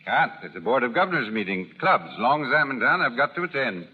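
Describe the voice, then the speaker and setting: An actor playing a hearty, affectionate well-to-do husband in 1930s radio drama style, with brisk, clipped, jovial phrasing. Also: male voice, medium pitch, sing-song, breathy edge. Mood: hearty, teasing. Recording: dull, muffled old radio broadcast.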